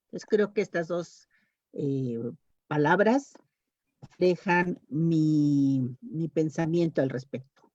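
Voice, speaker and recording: A woman giving her opinion as a panelist in a measured, reflective manner. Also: low-pitched, darkish tone, no room sound. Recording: dull lo-fi video call audio.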